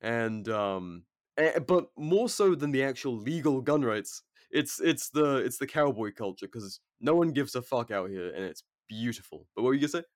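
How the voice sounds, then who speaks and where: A young man from rural England, hosting a podcast in casual chat, with a British accent worn partly American after years in the States, relaxed, sweary and quick to laugh. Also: mid-range voice, no room sound, clean studio sound. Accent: British English blended with American. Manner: laid-back, sweary, laughs often. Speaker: podcast host.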